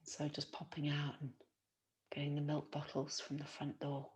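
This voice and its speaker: A woman, teaching yoga nidra as a guided relaxation in a soothing, gentle manner with long pauses. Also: low voice, completely flat delivery.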